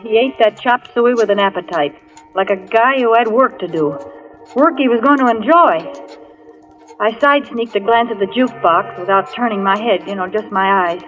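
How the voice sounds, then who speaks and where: A woman's voice: medium pitch, normal speed, a bit bright, lightly acted. An actress playing a frightened young woman in a dramatic 1940s radio thriller. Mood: tense, suspenseful. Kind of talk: 1940s radio thriller acting, first-person narration. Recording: dull vintage radio recording with music.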